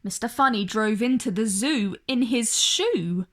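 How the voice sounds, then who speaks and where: A woman tells a children's story in a fairly high voice. Her pitch rises and falls a great deal. She takes it slowly, stressing words dramatically for young listeners. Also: lively intonation, fairly bright tone, a little hiss or hum. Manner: addresses young listeners directly, dramatic emphasis and drawn-out words. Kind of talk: expressive picture-book read-aloud for kids.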